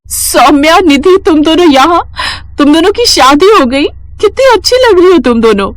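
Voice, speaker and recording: A woman voicing a cheerful, excitable young married woman in an animated story, exclaiming emphatically. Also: sits high, normal speed, no echo, pitched above the performer's own voice. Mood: delighted.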